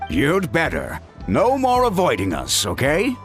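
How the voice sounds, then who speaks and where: An actor playing a caring middle-aged uncle who gently scolds his niece in a video game. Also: a little high, unhurried. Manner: warm-hearted scolding, expressive. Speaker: game character. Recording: game audio with soft music.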